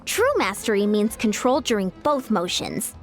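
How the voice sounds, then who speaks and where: A woman's voice: mid-range voice, animated, normal speed, faint music behind, close to an everyday voice. A woman voicing a confident, encouraging champion fitness coach who speaks instructively in a video game. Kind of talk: video game character acting.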